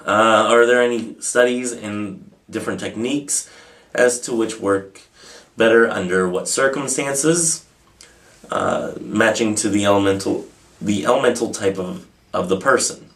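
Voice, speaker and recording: Guy with a deep voice doing a casual q&a, rambles a bit, pokes fun at himself. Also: a little slow, fairly bright tone, poor audio. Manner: informal, rambling, self-deprecating. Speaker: host of a pagan question-and-answer video channel. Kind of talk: casual, unscripted answering of viewer questions.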